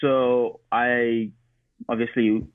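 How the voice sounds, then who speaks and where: A man's voice: medium pitch, flat delivery, very slow, dry recording. A man, giving casual, conversational interview answers as a podcast guest over a remote call. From Cameroon. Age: 20s. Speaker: podcast guest, software engineer and photographer. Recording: narrow tinny phone-like line.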